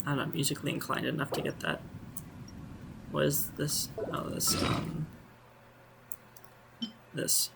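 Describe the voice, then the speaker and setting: A man's voice: fairly high pitch, little pitch movement, measured pace, gravelly, pauses often, noisy background. A man streaming a game, chatting casually and wryly about the story. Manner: chatty, wry, joking.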